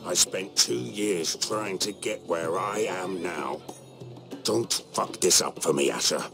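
A man's voice: low-pitched, takes it slowly, vocal fry, heavily acted. A man playing a surly, foul-mouthed mercenary soldier in a video game, curt and grumbling over music. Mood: bitter warning. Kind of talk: dramatic video game voice acting.

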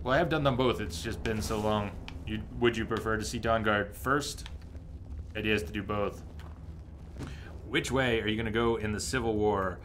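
A man's voice: on the low side, fairly bright tone, a little hiss or hum. A man in his thirties giving casual live gameplay commentary, chatting with his viewers in a conversational way. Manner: conversational, reacting to chat. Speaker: game streamer. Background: game sounds.

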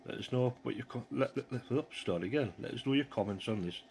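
A man's voice: medium pitch, a little fast, creaky, darkish tone, a little hiss or hum. A man giving informal, off-the-cuff commentary in a casual hands-on hobby video. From North East England. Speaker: amateur radio hobbyist demonstrating equipment. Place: outdoors in a yard, then a small living room. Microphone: handheld phone camera behind the view.

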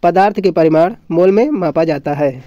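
Guy teacher with a high but mellow voice, reading notes and repeating stuff, dull roomy recording. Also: normal speed. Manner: didactic, repeats points and asks then answers own questions. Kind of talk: exam lesson read from notes.

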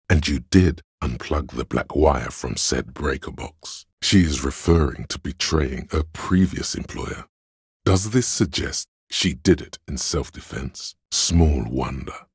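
A detective's inner logic for a game: gravelly, raspy, measured and analytical, very dry close sound. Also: male voice, dry recording, exaggerated voice. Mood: dry, reasoning. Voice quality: very deep, gravelly, husky. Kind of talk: video game narration.

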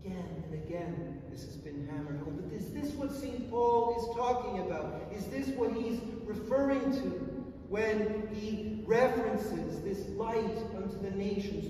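A man in his forties, an Orthodox priest, preaching a sermon in a large stone church, measured and emphatic with long pauses. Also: high-pitched, mellow, slight background noise. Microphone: far camera. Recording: echoey church from far off.

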